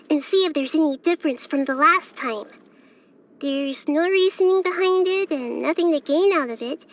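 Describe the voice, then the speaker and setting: A woman voicing a childlike girl god of wisdom for a video game, gentle, curious and thoughtful, speaking softly and carefully. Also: extremely high pitch. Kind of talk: scripted video game character voice lines.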